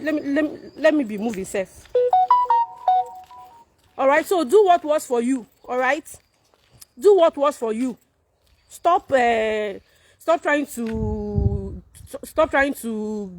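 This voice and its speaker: A woman's voice: sits high, lively intonation, a little slow, long pauses. A Nigerian woman whose first language is Igbo, talking casually and emphatically to her viewers, repeating points and asking rhetorical questions. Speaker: content creator.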